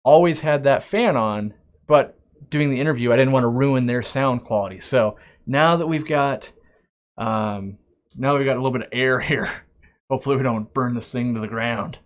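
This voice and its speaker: A man's voice: medium pitch, moderate pace. A man hosting a casual live-stream Q&A. He reads viewer questions aloud and answers them in a rambling, chatty way, often restarting his sentences. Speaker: aquarium shop owner hosting a live stream.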